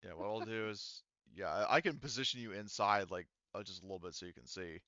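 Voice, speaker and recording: A man running a tabletop game, narrating off the cuff in a halting, conversational way. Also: on the low side, sharp tone, no room sound, highs cut off. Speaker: game master.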